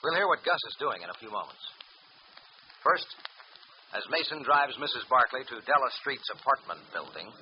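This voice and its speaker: A man's voice: medium pitch, sing-song, normal speed, slight background noise. Muffled old-radio serial narrator, man. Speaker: announcer and narrator.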